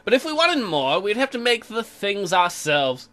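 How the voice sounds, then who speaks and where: Bright, animated male let's play host. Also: high-pitched, normal speed, faint music behind. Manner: irreverent, crude, mocking. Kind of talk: joking game commentary mixed with reading aloud.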